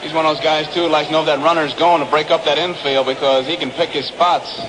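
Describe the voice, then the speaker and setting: Fast-talking, higher-voiced American baseball commentator. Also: male voice, fairly even intonation. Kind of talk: informal 1960s radio sports commentary. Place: outdoor ballpark broadcast. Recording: noisy vintage 1962 radio broadcast.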